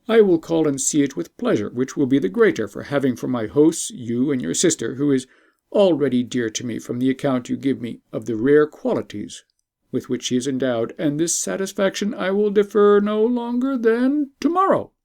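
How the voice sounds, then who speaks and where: Man playing a gracious, stately emperor, mellow voice. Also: fairly high pitch, animated, moderate pace, creaky. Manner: stately, formal. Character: gracious, courteous male emperor, stately and pleased. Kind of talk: audiobook storytelling.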